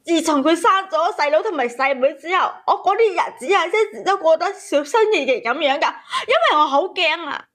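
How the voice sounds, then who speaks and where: High-voiced, plaintive woman caller. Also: animated, fairly quick, hardly any pauses, fairly bright tone. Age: 30s. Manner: plaintive, aggrieved. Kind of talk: personal storytelling on a call-in.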